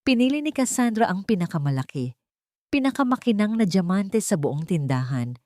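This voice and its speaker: A woman storyteller reading a scripted romantic drama with deliberate, dramatic phrasing. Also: medium pitch, big pitch swings, dark tone, no echo, clean studio sound.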